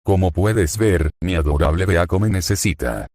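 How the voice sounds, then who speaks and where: Jokey young hero, read by a low-voiced man, dry close sound. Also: normal speed, darkish tone, no echo. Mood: joking. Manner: jokey, then pleading. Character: cheerful young man turned desperate, hero.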